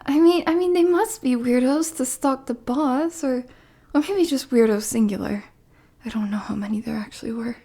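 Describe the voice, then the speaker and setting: A woman's voice: high voice, normal speed, mellow. A woman playing a dorky, lovestruck bodyguard in an ASMR roleplay, bashful and stammering, muttering asides as she clumsily hides her obsession. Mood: sheepish.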